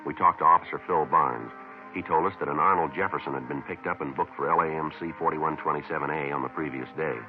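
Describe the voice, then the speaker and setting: An actor playing a terse, unflappable American police detective in a 1950s radio drama, clipped and businesslike. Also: male voice, a bass voice, quick pace, music in the background. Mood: deadpan, procedural. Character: terse, unflappable male police detective narrating his case. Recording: tinny, noisy 1950s radio recording.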